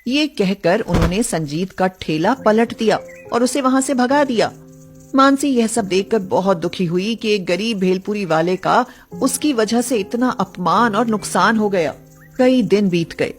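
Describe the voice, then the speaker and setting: A woman telling a scripted story as its narrator. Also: medium pitch.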